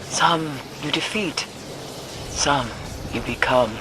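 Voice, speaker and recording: A woman voicing a solemn, cryptic alien mystic in a video game, with measured delivery. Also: low voice, very noisy. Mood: solemn warning.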